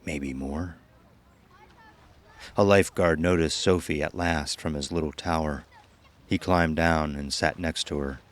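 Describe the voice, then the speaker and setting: A man's voice: extremely low pitch, fairly even intonation, a little slow, pauses often. A man giving scripted narration as the narrator of an audio horror drama. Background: music.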